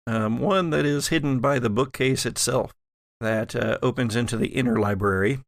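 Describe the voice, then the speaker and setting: A man acting as game master, improvising scene narration for a tabletop role-playing game with halting pauses mid-sentence. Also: mid-range voice, gravelly, no echo, clean studio sound.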